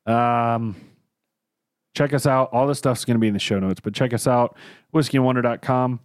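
A man's voice: mid-range voice, steady tone, normal speed, clear, resonant tone, no echo, studio quality. A man co-hosting a casual podcast, rambling and losing his train of thought, with a lingering cough and tickly throat. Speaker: podcast co-host. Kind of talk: casual chat.

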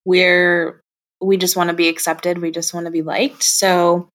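A woman's voice: lowish voice, flat delivery, measured pace, fairly bright tone. A young woman telling personal stories as an interview guest in a casual, candid and reflective way.

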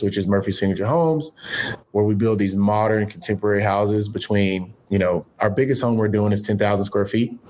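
Upbeat, motivational man with a lowish voice. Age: late 30s. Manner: energetic, motivational, quick bursts of emphasis. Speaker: guest, entrepreneur. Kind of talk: conversational interview storytelling.

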